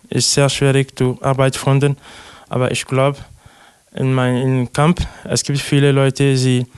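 Slow, flat-voiced man from Togo. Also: mid-range voice, clean tone, no echo. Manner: hesitant, many "äh" fillers, simple sentences. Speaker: interviewee, refugee. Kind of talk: halting interview answers in learner German.

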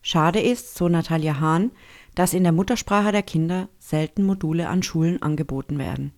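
A reporter reading a scripted feature narration in a measured, formal way. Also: mid-range voice, darkish tone. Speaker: radio reporter.

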